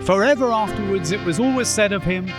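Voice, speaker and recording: A man's voice: sits high, fast, music in the background. A man narrating a scripted story in a staged radio play.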